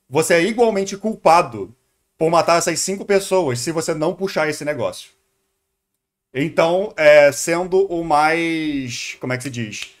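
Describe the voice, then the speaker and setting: A man with a Brazilian Portuguese accent giving casual live-stream commentary, hesitating and repeating words as he thinks aloud. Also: a little high. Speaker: streamer reacting to a video.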